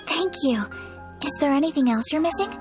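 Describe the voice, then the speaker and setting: High-voiced, refined young noblewoman. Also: expressive. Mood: courteous. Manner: formal, measured, courteous. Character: refined, polite young noblewoman, gentle and earnest. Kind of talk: video game character acting. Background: music.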